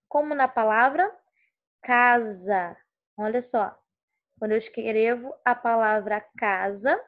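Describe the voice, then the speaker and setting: A woman teaching a lesson on letters and sounds, explaining step by step and often checking with "ok?". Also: on the high side. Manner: slow, explanatory, checks understanding with "ok?". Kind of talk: recorded classroom lesson. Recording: tinny phone-like sound.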